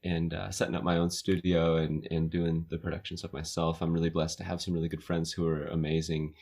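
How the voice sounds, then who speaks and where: A man from California, with an American accent tinged with Australian, chatting as a guest singer-songwriter in a relaxed, hesitant way full of fillers. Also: sits low, a little fast. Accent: American, slightly Australian. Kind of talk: casual interview chat. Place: his home recording studio.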